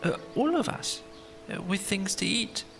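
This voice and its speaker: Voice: medium pitch, animated, measured pace, creaky, over music, voice pushed up. A storybook narrator voicing a friendly, simple toy bear who speaks in a hesitant, guileless way. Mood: excited. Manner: hesitant, guileless, with little ums.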